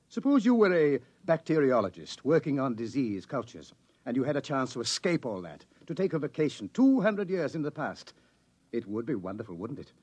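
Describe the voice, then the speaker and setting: A suave, menacing government hunter in a vintage radio drama. His mid-pitched voice swings widely as he insinuates and toys with his listeners in mock-polite, theatrical phrases. The sound is dry and close. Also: darkish tone, no echo. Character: suavely menacing male government searcher from the future, toying with his quarry. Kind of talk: 1950s radio drama acting.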